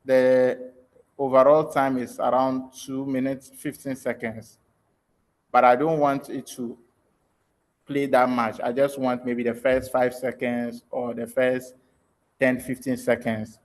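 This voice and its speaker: A man's voice: mid-range voice, flat delivery, takes it slowly. A man giving an informal online tutorial as a trainer, explaining step by step in a hesitant way with check-in questions. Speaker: trainer in an online audio editing workshop. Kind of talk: live screen-share tutorial, informal explaining. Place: online video call.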